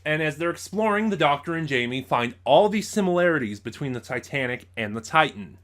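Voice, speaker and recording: A man giving an informal spoken review as host, in a halting way, stumbling over words and correcting himself. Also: on the high side, animated, a bit bright.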